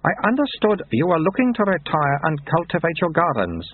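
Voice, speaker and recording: An ancient abbot reborn as a baby, read by a man, issuing orders that keep dissolving into baby babble. The voice sits high and swoops about, with a husky edge. It comes through a dry, tinny, phone-like line. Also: very animated, moderate pace. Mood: stern. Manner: breaks into baby babble mid-sentence. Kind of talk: audiobook character reading.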